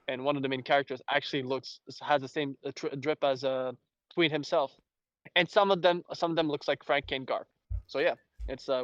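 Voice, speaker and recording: A man from Belgium, a native French speaker with an accent to match, hosting a casual fan discussion in a rambling, halting way. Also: mid-range voice, fairly bright tone, dry recording, dull audio. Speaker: host.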